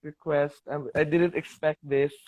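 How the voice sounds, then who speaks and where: A young Filipino man in his twenties, a college art teacher calling in as a fan, speaking in a shy, halting and polite way over a video call. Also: fairly high pitch, flat delivery, dry recording. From Philippines. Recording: tinny dry video-call audio.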